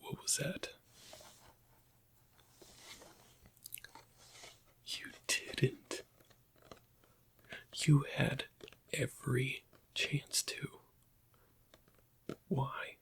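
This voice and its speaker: Weary, bitter Imperial interrogator, played by a man for ASMR roleplay. Gravelly whisper, halting, pointed questions. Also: medium pitch. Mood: disappointed. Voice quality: breathy, husky whisper.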